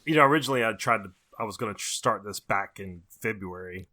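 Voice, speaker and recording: A man's voice: on the low side, fast talker, bright, forceful tone, slight background noise. An American man co-hosting a podcast, reviewing movies in casual conversation. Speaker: podcast co-host.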